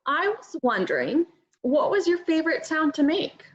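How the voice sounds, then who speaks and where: A woman's voice: sits high, very animated, moderate pace, highs cut off. A woman instructor interviewing children about their camp, asking friendly questions and answering with encouraging, upbeat words. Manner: encouraging, upbeat short replies. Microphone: video call.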